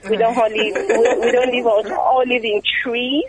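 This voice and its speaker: A woman's voice: on the high side, fairly even intonation, moderate pace, crowd noise, rough recording. A woman from Nigeria, a guest in an interview, talking casually and chattily with frequent fillers and repeated words.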